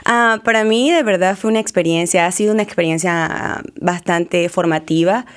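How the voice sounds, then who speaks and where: A woman's voice: on the low side, moderate pace, smooth. A young woman from Venezuela, a journalist speaking as a podcast guest in an eager, friendly way. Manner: warm, eager, laughs easily. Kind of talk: conversational podcast interview.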